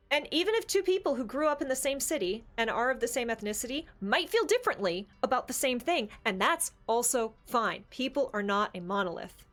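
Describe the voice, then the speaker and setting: A woman's voice: high-pitched, fairly bright tone. A woman co-hosting a talk show, speaking casually and emphatically as she argues her opinions. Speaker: podcast co-host. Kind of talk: opinionated discussion, casual chat.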